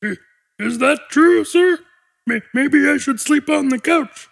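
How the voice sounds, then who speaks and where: A man voicing a huge, pudgy, timid young monster boy with a high-pitched, nervous, stammering voice in an audiobook. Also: takes it slowly, creaky, fairly bright tone, full character voice.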